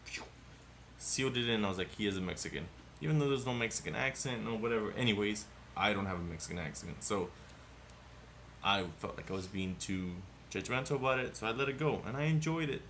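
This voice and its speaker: A man co-hosting a casual movie review podcast, talking in an animated, rambling way and swearing often. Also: lowish voice, a little fast, a little hiss or hum. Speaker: podcast co-host.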